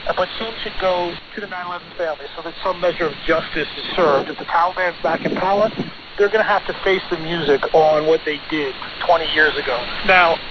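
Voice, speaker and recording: Male American lawyer as interview guest, fairly high voice, emphatic and argumentative, muffled radio sound. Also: fairly even intonation, fast talker. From United States. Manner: assertive, emphatic, insistent. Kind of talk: broadcast interview answers, argumentative. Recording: noisy, dull shortwave radio relay.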